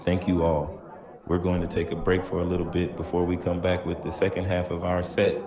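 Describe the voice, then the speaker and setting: Guy acting as a genial band frontman, deep voice, echoey room with crowd around. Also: little pitch movement, a little fast, natural voice. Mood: genial, thankful. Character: genial male bandleader announcing a set break.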